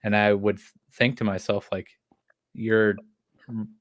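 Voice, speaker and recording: A man in his thirties talks in a low, dry, close voice. He co-hosts a casual podcast chat and rambles, leaning on fillers as he goes. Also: level tone, unhurried, no room sound. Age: 30s. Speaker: podcast co-host.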